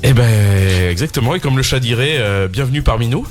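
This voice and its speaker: A man's voice: medium pitch, animated, brisk, faint music behind. A man hosting a casual radio show, chatty and joking, with lots of fillers. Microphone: studio microphone at a shared table.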